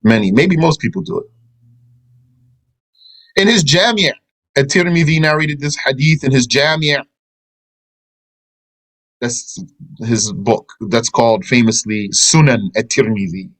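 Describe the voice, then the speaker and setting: A man teaching a religious lesson at an everyday, middle pitch. He reads a line of a translated text and then explains it at length, slipping Arabic terms into his English. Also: unhurried, pauses often, fairly bright tone, low-quality recording.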